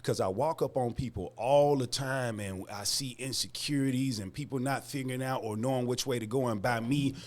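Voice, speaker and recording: An American man talks as a guest in a relaxed interview. His voice sits at an ordinary middle pitch. He uses plenty of slang and leans on his words for emphasis. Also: brisk, few pauses. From Chicago, United States. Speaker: guest, music artist and label CEO. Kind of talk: casual interview chat.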